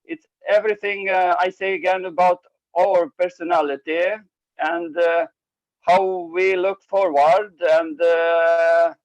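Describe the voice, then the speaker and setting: Guy talking slowly on a video call, halting english, kinda high voice, dull sound. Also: fairly even intonation, no room sound. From Albanian Icelandic, based in Norway. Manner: halting, broken phrasing in non-native English. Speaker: participant in a group coaching call. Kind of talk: hesitant, unscripted opinion sharing.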